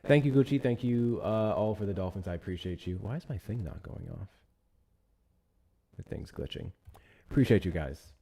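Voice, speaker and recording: A man's voice: fairly low pitch, normal speed, pauses often, warm tone. A man hosting a live stream, chatting loosely with viewers, thanking them for gifts and repeating himself as he talks. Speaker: livestream host. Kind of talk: casual live-stream commentary.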